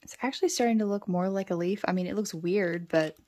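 A woman with a medium-pitched voice chats casually while doing a craft at a table. She speaks in short, self-deprecating remarks, as if thinking aloud to herself. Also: fast talker, darkish tone. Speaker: craft vlogger. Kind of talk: casual talk while drawing in a journal. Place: indoors at a wooden table.

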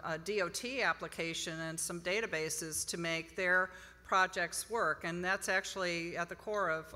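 A woman's voice: fairly low pitch, sharp tone. A middle-aged woman giving an informal conference presentation as an institute director at a podium microphone in a ballroom. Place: large hotel ballroom, on stage. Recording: reverberant hall PA sound.

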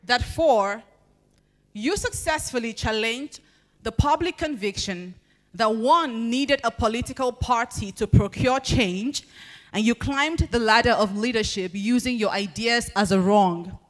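Young Nigerian woman reciting spoken word. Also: fairly high pitch. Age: young adult. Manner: measured, expressive recitation with deliberate pauses. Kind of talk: spoken word poetry performance. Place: large banquet hall at a podium. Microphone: handheld microphone.

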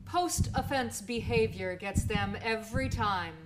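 A woman playing a matter-of-fact, knowing police officer who speaks deliberately and pointedly in a radio drama. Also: a little high, lightly acted. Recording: clearly noisy radio drama recording.

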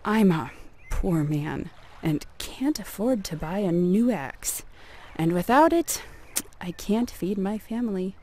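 A woman voicing a greedy, scheming male villager with drawn-out, exaggerated pleading in a storybook tale. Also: mid-range voice, expressive, dark tone, a little hiss or hum. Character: greedy scheming male neighbor feigning misery.

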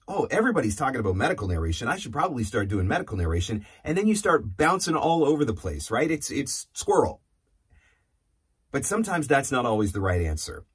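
Lively, slightly husky man coaching to camera. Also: on the low side. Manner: conversational, emphatic, poses rhetorical questions. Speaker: voiceover marketing coach. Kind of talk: instructional talk to camera. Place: small indoor studio or office. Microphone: lapel mic.

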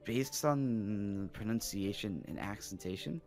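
A man playing a young, exasperated magic student in an improvised Minecraft roleplay, explaining things in halting phrases. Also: fairly low pitch, expressive, darkish tone, faint music behind. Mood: puzzled, explaining. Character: young male magic student, Elvish-reading scholar, exasperated.

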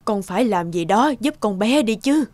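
A woman's voice: mid-range voice, expressive, moderate pace, darkish tone, no room sound, natural voice. A woman voicing a fretful, reproachful elderly grandmother in a cartoon. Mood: worried, reproachful. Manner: fussing, reproachful. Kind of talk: cartoon dubbing.

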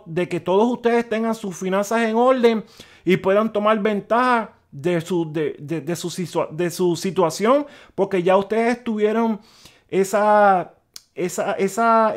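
A middle-aged man hosting a personal finance channel, talking casually and stammering, with English words mixed in. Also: high-pitched. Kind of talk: casual explanatory talk over a spreadsheet. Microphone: voice-over on a screen recording.

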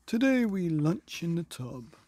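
Casting: male reader as merry, commanding king for a picture book, raised pitch, exuberant delivery. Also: sing-song, a little slow, a little mellow, dry recording. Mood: jovial, inviting. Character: jovial, booming bathing king, grown man.

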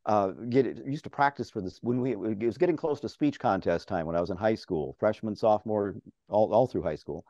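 An older man with a strong, mellow, fairly low voice tells stories with lively, chatty energy and frequent laughter. He talks from a home office over a video call. The sound is dry and a little dull. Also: a little fast, dry recording. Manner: chatty, animated, laughs often. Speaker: interview guest, radio farm broadcaster. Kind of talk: casual storytelling.

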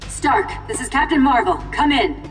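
An actress playing a brisk, military-minded superhero commander in a video game, formal and crisp in delivery. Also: female voice, high voice, expressive, fairly bright tone. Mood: urgent, official. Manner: formal, radio-procedure crisp. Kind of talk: video game cutscene acting. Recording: distant, long reverb, faint music.

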